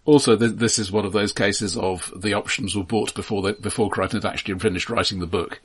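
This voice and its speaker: Low, slightly husky man. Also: fairly even intonation, a little fast. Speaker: film podcast co-host. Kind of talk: casual film discussion.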